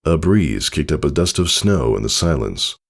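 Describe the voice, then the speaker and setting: A man's voice: extremely low pitch, big pitch swings, normal speed, creaky. A man narrating a story from a script in a dramatic storytelling style. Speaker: audiobook narrator.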